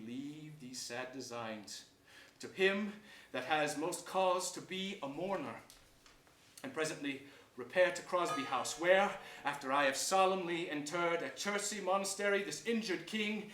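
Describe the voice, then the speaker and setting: A villainous duke in a Shakespearean stage play, heard from a distance in an echoing theatre. He is mocking and pleased with himself, declaiming every line slowly and deliberately. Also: on the high side, fairly bright tone, a little hiss or hum. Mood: smooth pleading. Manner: declamatory, deliberate, with sardonic relish. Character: gloating, scheming villainous royal duke, mockingly self-admiring. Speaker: stage actor. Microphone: distant camera or stage mic.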